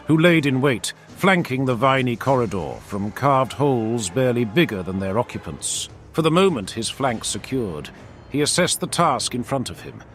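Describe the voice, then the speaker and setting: A man reading scripted battle fiction as a dramatic narrator, with theatrical, emphatic storytelling. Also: mid-range voice. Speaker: audiobook-style narrator. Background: background music.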